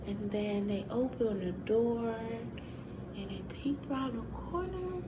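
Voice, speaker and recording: A woman with a slightly high voice tells a children's story off the cuff. She takes her time, repeating phrases deliberately, and there is clear ambient noise throughout the recording. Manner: slow, deliberate, repeating phrases, with sound effects. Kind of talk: improvised oral storytelling for children. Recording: clearly noisy, ambient room sound.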